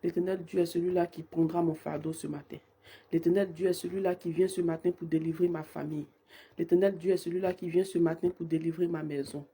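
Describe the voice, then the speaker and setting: A woman leading a live-streamed morning prayer with fervent, repetitive invocations, her voice hoarse from a cold. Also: fairly low pitch, fairly even intonation, a little fast, mellow. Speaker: prayer leader.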